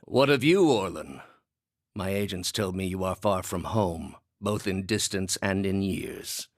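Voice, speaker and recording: An ancient fantasy-game villain, a zealous inquisitor with a deep, low voice in a close, dry recording. He speaks in measured, formal phrases. His manner is cold, imperious and condescending, as if passing judgment. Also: vocal fry, a bit bright, no echo, full character voice. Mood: mocking, cold. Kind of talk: dramatic fantasy video game voice acting.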